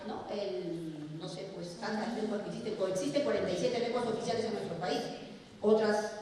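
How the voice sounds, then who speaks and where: A woman's voice: mid-range voice, moderate pace, a little mellow, a little hiss or hum. A middle-aged Peruvian woman with a Peruvian Spanish accent, giving a talk to teachers and often asking questions and answering them herself. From Peru. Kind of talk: lecture to a hall of teachers. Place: large lecture hall. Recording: echoey hall recorded from far off.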